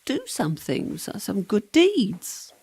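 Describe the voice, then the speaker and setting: A woman's voice: mid-range voice, huge pitch swings, slow, mellow, a little hiss or hum, exaggerated voice. An actress playing the ghost of a serving girl in a radio play, practical and teasing, with a dry, matter-of-fact manner. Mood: coaxing, brisk.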